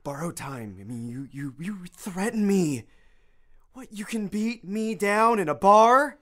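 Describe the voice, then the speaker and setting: Rattled accused man, tense and animated. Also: high-pitched, measured pace. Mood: defensive, outraged. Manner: stammering, protesting. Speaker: actor in an audio drama. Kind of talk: dramatic audio drama acting.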